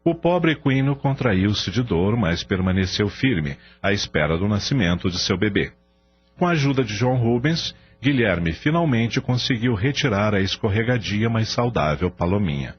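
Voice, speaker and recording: Deep, expressive Brazilian male narrator. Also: moderate pace, breathy edge. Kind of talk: scripted radio drama narration. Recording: dull radio recording with music bed.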